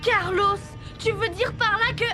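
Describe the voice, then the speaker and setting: Chatty cartoon schoolchild, piping very high, expressive and wry, music behind. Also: female voice, a little slow. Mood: worried. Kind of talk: French-dubbed children's cartoon acting.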